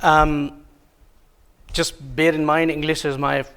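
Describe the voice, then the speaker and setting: Man presenting, Hindi-native, gravelly voice. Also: fairly high pitch, little pitch movement, a bit bright. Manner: halting, many ums and self-corrections, light self-deprecating humor. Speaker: conference presenter. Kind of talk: technical conference talk. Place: conference room.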